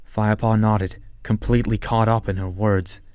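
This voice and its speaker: A man's voice: on the low side, level tone, normal speed, darkish tone, clear, resonant tone, no room sound. A man narrating an audiobook story from a script.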